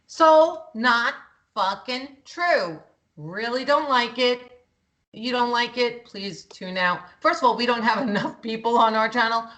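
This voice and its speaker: This woman talks with a bright, forceful edge and sits a little high in pitch. She co-hosts a talk show and speaks with heat and bluntness, and the swearing comes easily. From Greek heritage. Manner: impassioned, blunt, swears freely. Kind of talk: heated political talk.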